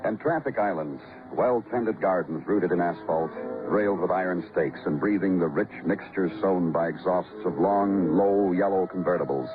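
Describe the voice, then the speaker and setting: A man's voice: low voice, normal speed, lightly acted. A man playing a terse, world-weary police detective in old-time radio noir style, brooding and lyrical. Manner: clipped questions, brooding lyrical delivery. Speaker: lead actor and narrator of a radio detective drama. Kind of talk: old-time radio noir acting, poetic second-person narration. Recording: muffled old radio broadcast with music.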